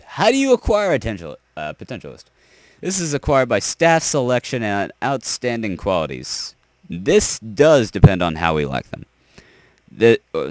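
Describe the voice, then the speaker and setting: Young guy going hoarse, rambling on about server ranks, lots of uh's, voice jumps up and down. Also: lowish voice, dry recording. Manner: rambling, halting, many fillers and restarts. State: losing his voice. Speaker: server owner. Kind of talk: rambling explanatory speech.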